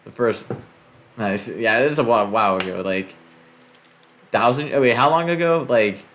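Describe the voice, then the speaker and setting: Podcast host, rambling and loose, swears often, filler-heavy delivery. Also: male voice, medium pitch, normal speed, a bit bright, slight background noise. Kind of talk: casual podcast chat.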